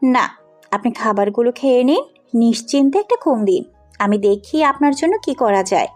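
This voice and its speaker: Woman playing a headstrong, high-voiced young woman. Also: lively intonation, normal speed. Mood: firm, concerned. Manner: blunt, insistent. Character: headstrong, compassionate young woman, outspoken businesswoman daughter. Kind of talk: Bengali audio story acting. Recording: music under the voice.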